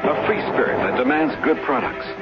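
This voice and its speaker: A man's voice: on the high side, moderate pace. An American man reading a polished scripted commercial as an announcer. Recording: dull 1970s radio broadcast. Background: loud music.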